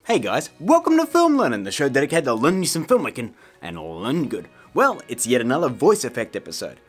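A man's voice: medium pitch, wildly swooping pitch, a little fast, music in the background. A man in his thirties hosting a filmmaking tutorial in a playful, casual, emphatic way. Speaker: host of a filmmaking tutorial show. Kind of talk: playful scripted tutorial to camera.

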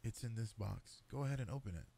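A man playing an affectionate cat-boy boyfriend in an intimate sleep-aid roleplay, speaking softly and coaxingly. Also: on the low side, expressive, fast talker, vocal fry, a little hiss or hum. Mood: doting, playful. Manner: soft, tender, coaxing, intimate. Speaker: roleplay voice actor.

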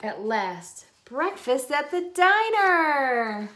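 A middle-aged woman reading a children's picture book aloud in a deliberate storytelling way, recorded on a phone at home. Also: sits high, sing-song, sharp tone, a little hiss or hum. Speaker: read-aloud host. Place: indoors in a room at home.

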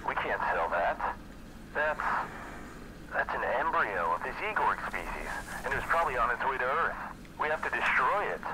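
An actor voicing a gruff, dutiful male space scavenger in a video game, blunt and bossy but earnest. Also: medium pitch, animated, normal speed, noisy background, close to an everyday voice. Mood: urgent, commanding.

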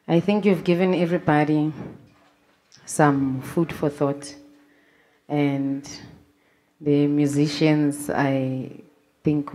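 A young woman hosting a live event, speaking in a relaxed, hesitant way into a handheld mic in a gallery room. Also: low voice. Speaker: host of the event.

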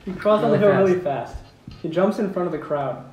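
A man with a slightly high voice reads out stage directions at an amateur table read, plainly and a little amused. He sits away from the mic in an echoey room, with faint noise behind him. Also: brisk, music in the background. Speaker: narrator reading stage directions. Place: room at home around a wooden table. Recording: roomy, distant mic with background noise.

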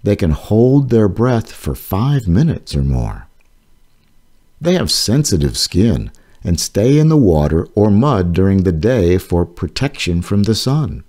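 A man reading his own children's nonfiction book aloud, slowly and carefully. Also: fairly low pitch, very animated, dark tone. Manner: slow, careful, clearly paced reading. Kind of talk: read-aloud narration for children.

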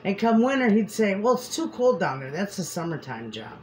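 A middle-aged woman chatting casually to camera in her home kitchen as she cooks, homey and quick to laugh. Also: mid-range voice, very animated, darkish tone. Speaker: homestead cooking vlogger. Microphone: camera across the kitchen. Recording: noisy home kitchen audio.

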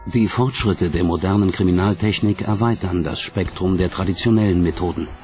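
A man's voice: sits low, a little fast, darkish tone, faint music behind. A man reading scripted narration as the narrator of a documentary.

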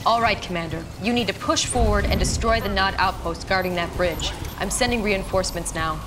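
Woman acting as military briefing officer, commanding, brisk, medium pitch, game dialogue, loud music. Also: natural voice. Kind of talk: mission briefing.

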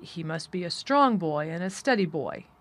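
A woman's voice: on the low side, animated, moderate pace, lightly acted. Storybook doctor, male: nervous, easily irritated, grave and formal, played by a female narrator. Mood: wary questioning.

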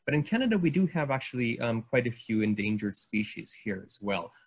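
Husky man rambling warmly on a video call. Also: medium pitch, sing-song. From Hungary. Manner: enthusiastic, halting with many fillers and restarts. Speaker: guest artist. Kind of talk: casual video call interview. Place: home room.

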